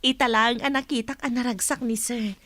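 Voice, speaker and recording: A woman's voice: fairly high pitch, steady tone, fairly quick, fairly bright tone, no echo, lightly acted. A woman with an Ilocano accent playing a friendly, encouraging senior office colleague in a radio drama. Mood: congratulatory.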